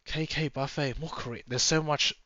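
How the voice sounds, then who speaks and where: A man's voice: on the high side, fairly even intonation, normal speed, bright, forceful tone, no room sound. A man giving a casual, chatty running commentary from inside a moving car, musing aloud and drifting off topic. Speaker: travel vlogger. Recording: slightly dull, dry sound.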